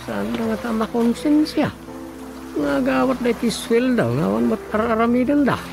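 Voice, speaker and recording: A man's voice: extremely high pitch, expressive, moderate pace, vocal fry, music in the background, full character voice. A man acting a village councillor and chairman's aide in an Ilocano radio drama comedy, conversational and grumbling, with an Ilocano accent.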